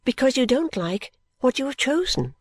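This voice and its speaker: A woman voicing a sensible, caring young woman in an audiobook, earnest and gently reasoning. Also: sits high, very animated, normal speed, breathy edge, no room sound, pitched above the performer's own voice, heavily acted. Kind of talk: audiobook character dialogue.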